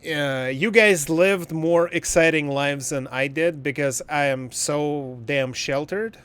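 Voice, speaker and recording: A man's voice: fairly high pitch, slow pace, fairly bright tone, clean tone. A man livestreaming a game and chatting casually, in a blunt, joking and profane way. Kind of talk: casual livestream chat while gaming.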